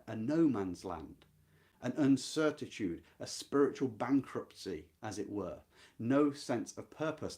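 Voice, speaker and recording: Man lecturing with lively, swooping intonation. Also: medium pitch. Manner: emphatic, deliberate, repeats key points. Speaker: host of a book readalong, literary commentator. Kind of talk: literary lecture, explaining a novel to viewers.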